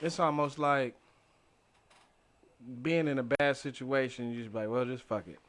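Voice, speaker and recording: An American man with a medium-pitched voice takes part in a podcast as a panelist. His talk is casual and conversational, like chat among friends. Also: fairly even intonation, normal speed, fairly bright tone.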